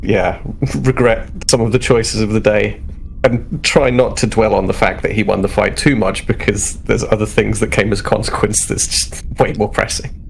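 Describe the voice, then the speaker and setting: Gravelly man playing a tabletop game. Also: lowish voice, fairly even intonation, normal speed, a little hiss or hum, poor audio. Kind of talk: improvised description of his character.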